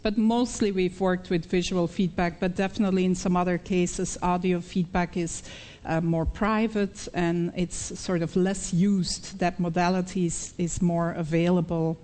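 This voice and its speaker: A woman's voice: on the low side, fairly even intonation, a little slow, dark tone. A middle-aged woman with a Belgian accent, a researcher answering audience questions after a talk, thoughtful and hesitant with many fillers and frequent little laughs. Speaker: invited speaker, researcher.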